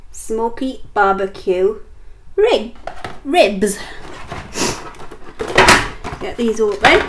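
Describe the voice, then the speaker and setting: A woman's voice: medium pitch, a little hiss or hum. A young woman with an English accent, chatting through a home cooking and eating vlog, a little sniffly from a cold. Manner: chatty, talks while eating. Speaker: mukbang vlogger. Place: home kitchen.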